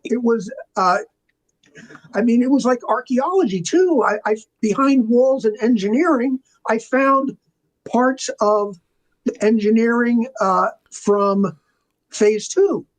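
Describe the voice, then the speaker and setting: A man's voice: extremely high pitch, takes it slowly, rough recording. An older man chatting casually as an interview guest, telling rambling, enthusiastic stories about his working life. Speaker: interview guest, film makeup artist and art department veteran.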